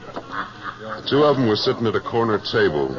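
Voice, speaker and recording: A man's voice: on the low side, lively intonation, normal speed, a crowd in the background, close to an everyday voice. An actor playing a tough, self-assured western cattleman with an American accent in a radio drama, terse and unflinching. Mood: grim narrating. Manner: terse, unflinching, plain-spoken. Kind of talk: 1950s radio western acting, first-person narration and dialogue. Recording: dull 1950s radio recording.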